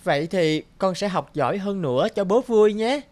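A woman's voice: mid-range voice, lively intonation, moderate pace, a little mellow, close to an everyday voice. A woman playing a sweet, devoted little girl in a cartoon, with a pleading, childlike manner. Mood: sweet, eager. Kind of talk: cartoon dialogue acting.

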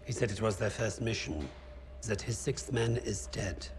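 A man's voice: fairly low pitch, moderate pace, a little hiss or hum, natural voice. A man playing an arrogant, menacing Nazi interrogator in a war game, pompous and with a German accent. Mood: eager, scheming. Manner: pompous, relishing his own voice. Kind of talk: dramatic game cutscene acting. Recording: loud music under the voice.

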